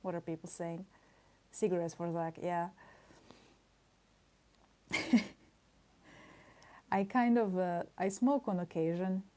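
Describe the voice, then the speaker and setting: A woman with a slightly low, soft and mellow voice chats casually on a livestream while she draws. She wanders from thought to thought, laughs easily and playfully scolds her viewers with mock outrage. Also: steady tone, slow pace, pauses often. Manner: playful, mock-indignant teasing of chat; rambling, chatty asides with frequent laughs. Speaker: livestreaming artist.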